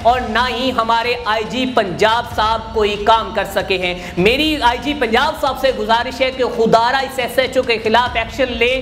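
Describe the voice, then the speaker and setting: A woman's voice: a little high, normal speed, few pauses, fairly bright tone, soft background music. A woman with a Pakistani accent delivers indignant news commentary in a rhetorical, admonishing manner. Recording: roomy echo, faint background noise.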